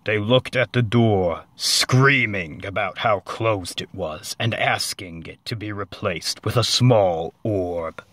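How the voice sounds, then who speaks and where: A man narrating a comic story as a dramatic reading, deliberate and mock-serious, with dramatic pauses. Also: fairly low pitch, fairly bright tone, dry recording.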